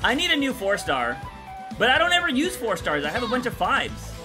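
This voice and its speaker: A man's voice: extremely high pitch, wildly swooping pitch, fairly quick, music in the background. A man in his thirties giving a casual live reaction in laid-back, slangy talk as he streams. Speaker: streamer reacting to a game trailer. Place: small indoor streaming room.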